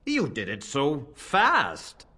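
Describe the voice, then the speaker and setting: Slow, exasperated sarcastic shopkeeper. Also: male voice, fairly high pitch, slightly rough, heavily acted. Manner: deadpan, sighing sarcasm, drawn out. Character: exasperated, long-suffering shopkeeper, dryly sarcastic. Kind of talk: video game voice acting.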